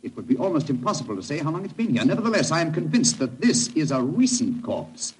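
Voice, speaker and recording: A brisk, superior British detective in an old radio drama, swooping animatedly as he lectures and commands. The recording is vintage, with faint noise. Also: on the high side, big pitch swings. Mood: excited deduction. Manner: crisp, pedantic, commanding. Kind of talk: 1940s radio drama acting.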